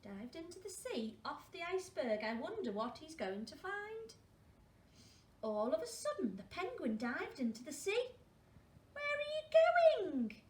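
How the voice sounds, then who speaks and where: A woman's voice: high-pitched, sing-song, normal speed. A woman reading a story aloud to young children as a school storyteller, adding questioning asides to her listeners. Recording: roomy, distant mic, faint noise.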